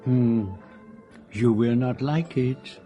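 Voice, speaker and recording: A man's voice: medium pitch, faint music behind, natural voice. Man acting a courteous Belgian detective, French-speaking accent. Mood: sly, dry. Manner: formal, measured, gently ironic. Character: courteous, shrewd continental male detective, methodical and sly. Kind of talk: radio drama acting.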